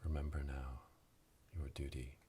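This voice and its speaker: Man as a slow, whispering, solemn coven witch. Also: rumbling low, slight background noise. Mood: solemn, hushed. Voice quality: breathy whisper, monotone. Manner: slow, hushed, ceremonial whispering. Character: solemn witch elder leading a coven initiation. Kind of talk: whispered ASMR roleplay. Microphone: close binaural microphone.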